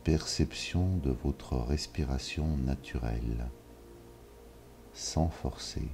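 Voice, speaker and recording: A man leading a yoga nidra session, giving calm, measured instructions with long pauses. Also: extremely low pitch. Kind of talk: slow guided relaxation. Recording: soft music behind. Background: soft ambient music.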